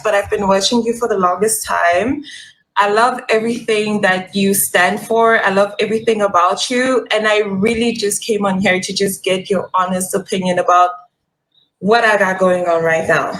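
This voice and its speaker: A woman's voice: medium pitch, steady tone, normal speed, fairly bright tone, rough recording. A young woman from Congo calling in to a live dating show, talking earnestly and listing her situation. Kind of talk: casual call-in chat.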